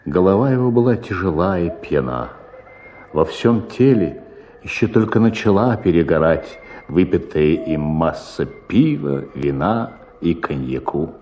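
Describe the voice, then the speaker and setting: A man narrating a literary story for radio in a measured, deliberate way. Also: low-pitched, expressive, mellow, highs cut off, a little hiss or hum.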